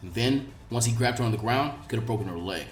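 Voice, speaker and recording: A man voicing a laid-back adult ninja instructor who is gruff, blunt and exasperated, in a story reading. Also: medium pitch, fast talker, echoey room, far from the mic, voice pushed down, natural voice. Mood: appraising. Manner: blunt, deadpan.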